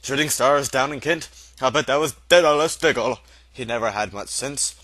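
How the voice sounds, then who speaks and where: A man's voice: mid-range voice, normal speed, bright, forceful tone, no room sound. A man voicing a severe, impatient older woman, a witch professor in a storybook, with a clipped, scolding manner. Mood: scornful.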